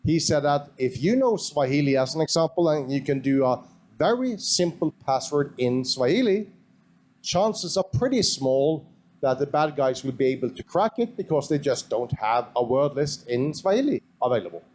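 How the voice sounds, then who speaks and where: A man with a Norwegian accent opens a conference session and introduces the next speakers. His voice sits a little high for a man. He talks in a measured way, pausing with fillers. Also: expressive, darkish tone. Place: conference hall.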